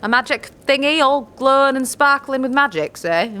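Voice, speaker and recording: A woman's voice: sits high, animated, normal speed, bright, forceful tone. A woman playing a shrewd, dryly kind old healer in a fantasy audio drama, with folksy, teasing, musing speech.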